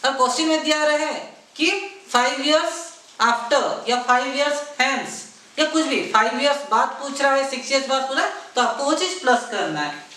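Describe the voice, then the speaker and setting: A man's voice: extremely high pitch, animated, measured pace, bright, forceful tone. A man teaching an exam-prep math lesson, repeating himself, asking rhetorical questions and mixing in English math terms. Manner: repetitive, keeps addressing viewers as friends; mixes English math terms into his talk. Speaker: math tutor. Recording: very echoey room, voice far from the mic.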